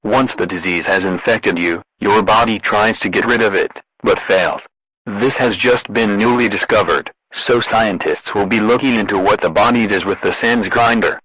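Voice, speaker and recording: A man's voice: on the low side, normal speed, a bit bright, husky, no room sound, poor audio. A man delivering a scripted emergency broadcast as an announcer, in a formal, impersonal way.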